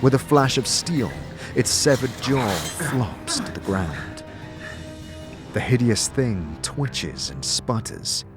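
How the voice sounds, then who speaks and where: A man's voice: medium pitch, big pitch swings, slow pace. A man narrating a scripted fantasy story in the second person, with a dramatic storytelling manner. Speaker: narrator and game master. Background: music.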